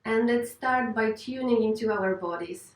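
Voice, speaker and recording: Female yoga teacher, gentle and reassuring guided relaxation, roomy sound, distant mic. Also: fairly high pitch, measured pace. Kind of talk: guided meditation instruction.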